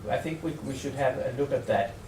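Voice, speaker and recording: A man from Denmark with a Danish accent presents at a seminar as a researcher, speaking English as a second language in a halting, tentative way, thinking aloud and repeating words. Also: medium pitch, darkish tone. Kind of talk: semi-improvised conference presentation. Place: seminar room in a hotel. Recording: echoey room, distant mic, faint noise.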